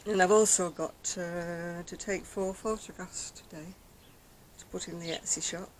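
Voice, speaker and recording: An older woman with a very soft, quiet voice chats to camera as a craft vlogger, rambling and self-mocking, recorded outdoors on a decking. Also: fairly low pitch, fairly even intonation, unhurried, a little hiss or hum. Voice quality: very soft, quiet voice after throat surgery. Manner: chatty, rambling, self-mocking, laughs softly. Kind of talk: casual chat to camera. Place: outdoors on a decking by a brick wall. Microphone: camera in front at close range.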